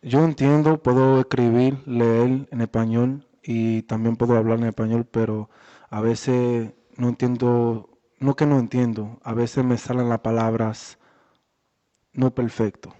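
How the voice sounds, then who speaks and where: A man's voice: medium pitch, flat delivery, measured pace, dark tone. A man with a Dominican accent in Spanish, answering interview questions conversationally as a boxer and guest. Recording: echoey, muffled room recording.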